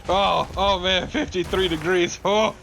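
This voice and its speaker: A man's voice: high-pitched, steady tone, a little slow, vocal fry, a bit bright, slight background noise. A man chatting casually and jokingly as co-commentator on a video game let's play.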